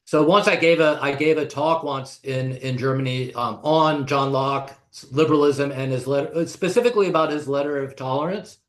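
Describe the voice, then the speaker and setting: A man from the United States, a podcast guest, explaining ideas in a thoughtful, unhurried way with frequent pauses. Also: on the high side, a bit bright. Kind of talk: casual conversational discussion. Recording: echoey room.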